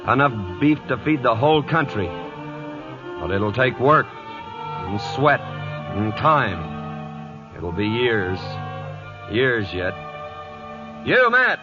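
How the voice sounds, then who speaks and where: An actor playing a hard, domineering American cattle baron, blunt and commanding, in a 1940s Western radio drama. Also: male voice, mid-range voice, very animated, takes it slowly, pauses often, music in the background. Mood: resolute. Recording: muffled 1940s radio broadcast.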